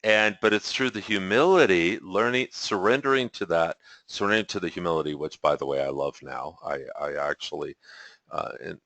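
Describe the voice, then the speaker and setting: Deep-voiced man teaching over a call. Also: normal speed, a bit bright, no echo. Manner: rambling, self-correcting, chuckles often. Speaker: spiritual teacher leading a webinar. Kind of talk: casual teaching talk. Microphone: video call.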